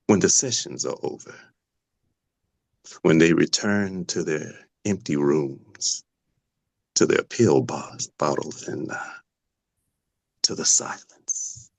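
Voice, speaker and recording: A man playing a vengeful, grieving patient in a dramatic table read, slow and taunting with sudden drops toward a whisper. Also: rumbling low, animated, creaky, dry recording, exaggerated voice. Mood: taunting, eerie. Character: menacing, vengeful male patient grieving his twin sister.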